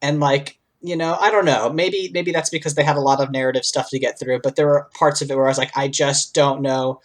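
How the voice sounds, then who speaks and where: A man speaks as a podcast co-host in a mid-pitched voice. He sounds informal and conversational, talking discursively and wandering into asides as he makes his points. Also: steady tone, fairly quick. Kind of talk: casual discussion and commentary.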